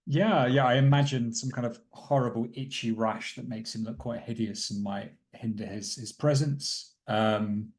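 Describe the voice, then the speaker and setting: A man with a medium-pitched voice takes part in a tabletop roleplaying game. He talks casually as a player, laying out his character's actions. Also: normal speed, hardly any pauses.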